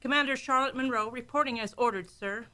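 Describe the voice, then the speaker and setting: A woman's voice: fairly high pitch, quick pace, noisy background, natural voice. A woman playing a confident, respectful starship commander in a science fiction radio play. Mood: pleased, playful.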